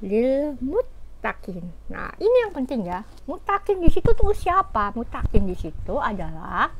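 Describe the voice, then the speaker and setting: A woman giving an informal religious talk, explaining scripture in an animated way with fillers and rhetorical questions. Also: fairly high pitch, a little hiss or hum, rough recording. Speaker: religious teacher.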